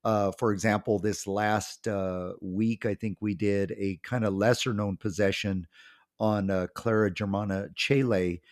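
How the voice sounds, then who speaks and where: A man's voice: lowish voice, measured pace. A man hosting a podcast with a cold, talking casually and rambling a bit, with plenty of ums. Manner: relaxed, rambling, many ums. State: has a cold, voice may crack. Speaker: podcast host; pastor and author.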